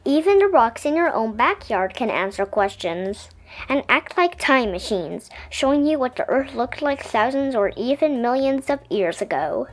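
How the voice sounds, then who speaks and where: A woman reading a children's science picture book aloud in an expressive, explanatory storytelling way. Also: a little high, moderate pace, soft background music.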